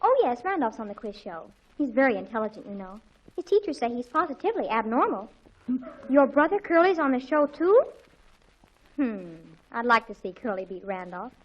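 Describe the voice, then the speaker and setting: A woman acting an excitable teenage daughter with an American accent, gushing and melodramatic, in a 1940s radio sitcom. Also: on the high side, moderate pace, muffled recording. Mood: haughty, boastful. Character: excitable, dramatic teenage daughter in a family comedy.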